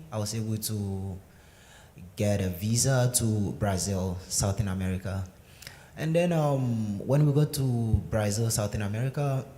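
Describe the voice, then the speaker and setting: Soft-voiced young man, echoey sanctuary. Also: on the low side. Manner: hesitant, many fillers. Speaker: guest speaker sharing his story. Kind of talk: personal testimony answering questions. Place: synagogue sanctuary. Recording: echoey sanctuary with faint noise.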